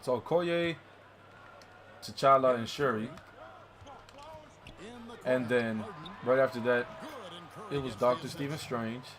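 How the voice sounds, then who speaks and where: A man's voice: medium pitch, slow pace, pauses often, fairly bright tone. A man talking films casually with a friend, slangy and full of swearing. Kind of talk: casual excited movie discussion with a friend.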